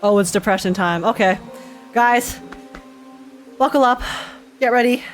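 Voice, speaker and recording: A woman's voice: a little high, unhurried. Young woman streamer over faint game music. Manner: excitable, slangy, giggly. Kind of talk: casual live reaction commentary over gameplay.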